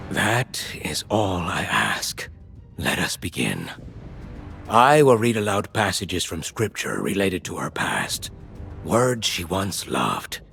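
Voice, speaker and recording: A slow, low, solemn captive scholar. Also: male voice, gravelly, a bit bright, heavily acted. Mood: hushed, soothing. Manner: measured, theatrical, earnest delivery. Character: solemn captive scholar man reading scripture with earnest hope. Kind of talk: video game drama acting.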